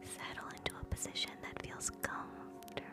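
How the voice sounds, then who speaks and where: A woman hosting live ASMR in a soft, breathy whisper, gentle and soothing, moving between guided relaxation and quiet chat. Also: brisk, over loud music. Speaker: ASMR streamer, host.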